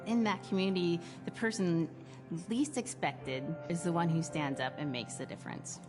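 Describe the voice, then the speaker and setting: A woman with a very low voice gives an explanatory documentary interview. Music plays clearly behind her as she comments. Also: expressive, moderate pace.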